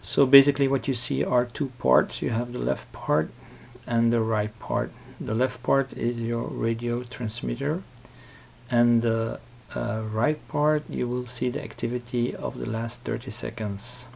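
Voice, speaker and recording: A man giving an unscripted software tutorial, explaining step by step in a halting, repetitive way. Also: medium pitch, fairly even intonation, vocal fry. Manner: explanatory, halting, repeats himself. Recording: noticeable background noise.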